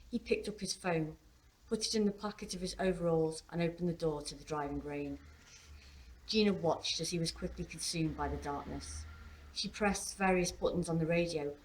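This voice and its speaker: A woman author reading her own novel aloud as expressive story narration. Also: on the low side, poor audio.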